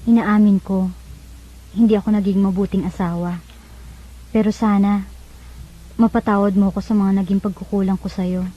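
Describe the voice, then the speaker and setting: Actress as a Filipina wife in radio drama, dull sound. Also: female voice, mid-range voice, flat delivery, moderate pace, pauses often, natural voice. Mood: remorseful, tender. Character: troubled wife, moved and remorseful.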